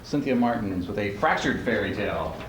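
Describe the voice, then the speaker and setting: A man's voice: lowish voice, animated, a little fast. A man hosting as a speech coach, giving an informal stage introduction. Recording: roomy, noisy amateur stage recording.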